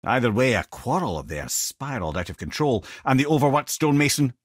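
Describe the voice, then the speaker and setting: An actor playing a wry old sage in a video game, an eloquent, theatrical storyteller with a Scottish accent. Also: male voice, medium pitch, big pitch swings, fairly quick, breathy edge, no room sound, clean studio sound. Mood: measured storytelling.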